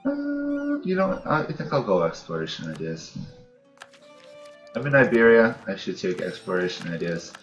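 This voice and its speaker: Low-voiced man musing over a game, roomy sound. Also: very animated, moderate pace. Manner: thinking aloud, musing. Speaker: host of a gaming channel playing a strategy game. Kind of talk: casual let's-play commentary. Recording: dull, roomy home recording. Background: game music.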